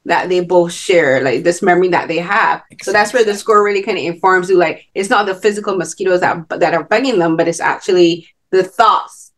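Bajan woman hosting a podcast over video call, rambly and chatty, kinda low voice. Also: brisk, hardly any pauses. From Barbados. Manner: chatty, thinks aloud with many fillers. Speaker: podcast host. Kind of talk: casual video-call interview. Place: home with virtual beach background.

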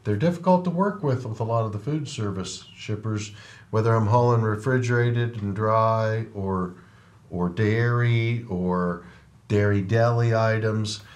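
A man's voice: fairly low pitch, measured pace. Plainspoken middle-aged man hosting a podcast. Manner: plainspoken, trucker's perspective, frequent rhetorical "right?". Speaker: podcast host and trucking company owner. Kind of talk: casual interview chat. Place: podcast studio. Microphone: over-ear headphones with desk mic.

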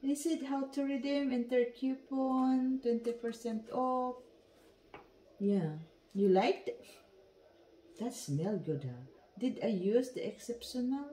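A woman's voice: fairly high pitch, slow pace, pauses often, mellow. A woman whose first language is Tagalog, chatting casually in broken English while unboxing perfume at home. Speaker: vlogger. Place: dining room at home. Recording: echoey room.

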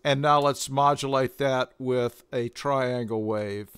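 An older man giving a measured, methodical instructional demonstration as a tutorial presenter. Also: medium pitch, steady tone, loud music behind the voice.

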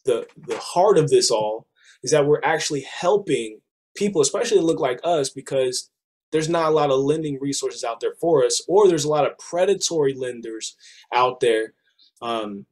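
Thirties male presenter, a bit hoarse, easygoing sales talk over a webcam. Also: medium pitch, a little mellow. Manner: casual, persuasive, addresses listeners as y'all. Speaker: business funding presenter. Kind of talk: screen-share sales walkthrough. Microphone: webcam on a video call.

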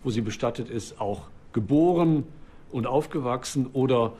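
A man speaking as an archaeologist in an interview, explaining off the cuff with halting, filled pauses. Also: mid-range voice, dark tone, breathy edge.

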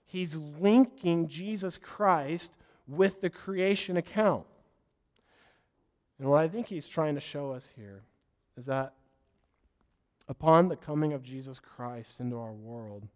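Hoarse, scratchy-voiced male preacher. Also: medium pitch, a little mellow. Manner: earnest, loses his train of thought and apologizes. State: hoarse from a cough. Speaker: pastor. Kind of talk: sermon.

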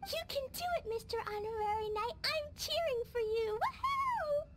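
Very high-pitched little elf girl. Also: sing-song, normal speed. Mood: excited, cheering. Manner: childlike, bubbly. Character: excitable, sweet, innocent little elf girl. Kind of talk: scripted anime game dialogue.

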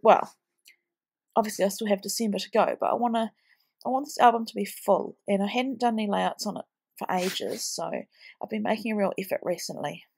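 A woman's voice: medium pitch, a little fast, vocal fry, a little mellow, slightly rough. A woman in her thirties, heavily pregnant and tired, chatting casually with a blocked, snuffly nose while narrating her scrapbooking. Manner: chatty, rambling with ums. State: stuffy nose from a cold. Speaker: scrapbooking vlogger. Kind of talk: casual craft voice-over.

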